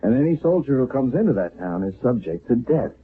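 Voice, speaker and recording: An actor playing a weary, earnest army sergeant giving a tense report in an old radio drama. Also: male voice, mid-range voice, big pitch swings, fairly quick, husky, narrow, tinny audio. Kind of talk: 1970s radio drama acting.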